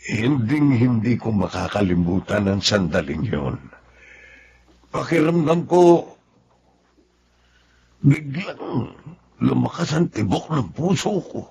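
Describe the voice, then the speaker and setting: A tender, devoted father in a radio drama. His voice is extremely husky with a gravelly creak, and it rises and falls with earnest, sentimental feeling. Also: mid-range voice, expressive, takes it slowly, long pauses, a little mellow, full character voice. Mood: nostalgic, tender. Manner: earnest, heartfelt. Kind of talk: Tagalog radio drama acting.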